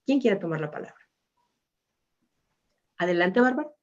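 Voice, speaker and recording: A woman's voice: mid-range voice, lively intonation, normal speed. A woman moderating a webinar, introducing the guests informally with many fillers over a video call. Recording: dull lo-fi video call audio.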